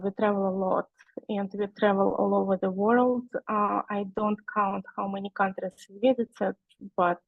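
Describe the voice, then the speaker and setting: A woman's voice: mid-range voice, creaky, no room sound, narrow, tinny audio. A woman in her forties from Russia, speaking with a Russian accent as a guest presenter on a webinar, with many hesitant fillers. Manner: hesitant, frequent "uh" fillers, slow and careful. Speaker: guest speaker, online seller.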